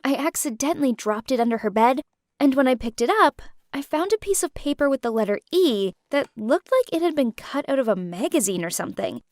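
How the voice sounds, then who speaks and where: An insistent young woman in a storybook tale, played by a woman. Her voice is high and dry, heard up close. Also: sing-song, brisk, no echo. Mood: hesitant.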